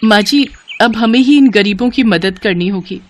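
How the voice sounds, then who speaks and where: A woman's voice: medium pitch, normal speed, a little hiss or hum, natural voice. A woman voicing an earnest, big-hearted young daughter-in-law who sells food. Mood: determined.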